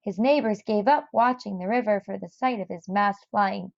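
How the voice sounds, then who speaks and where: A woman reading a children's story aloud in an expressive narrating style. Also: medium pitch, normal speed, gravelly, dry recording, dull audio. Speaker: storybook reader.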